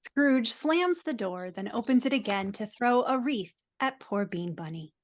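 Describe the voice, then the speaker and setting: A woman's voice: a little high, animated, measured pace. A woman reading scripted narration as narrator of a live dramatic reading. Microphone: video call.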